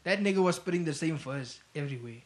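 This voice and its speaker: A young man bantering on a podcast with a blunt, slangy, teasing manner. His voice sits a little higher than most men's. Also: lively intonation, quick pace. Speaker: podcast co-host. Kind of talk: casual banter.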